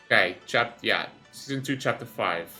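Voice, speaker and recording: A man's voice: on the low side, lively intonation, moderate pace, pushed and bright, faint music behind. A man giving casual gameplay commentary, rambling as he thinks aloud and swearing freely. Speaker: gaming streamer recording a reaction video.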